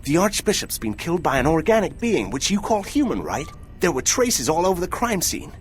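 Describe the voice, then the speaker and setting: A man's voice: a little high, very animated, fairly quick, slight background noise. A man voicing an eager, glib young male robot reporter in a video game, inquisitive and bouncy. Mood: eager, questioning. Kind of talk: scripted game dialogue.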